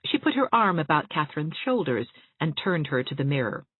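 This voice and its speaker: A woman narrating a classic novel in a low, husky voice, her reading measured but moving freely up and down. The recording sounds thin and phone-like, very dry. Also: sing-song, dry recording. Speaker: audiobook narrator. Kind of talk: scripted audiobook narration.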